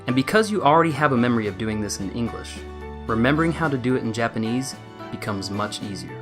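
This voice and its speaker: A man's voice: mid-range voice, expressive, quick pace. Man narrating a promo over music. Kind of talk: scripted narration.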